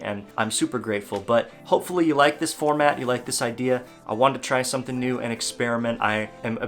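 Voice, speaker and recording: A man with a medium-pitched voice presents a casual tutorial for music makers. He speaks straight to his viewers in an upbeat, chatty manner. Faint music sits behind his voice. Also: fairly bright tone. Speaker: host of a music production tutorial series.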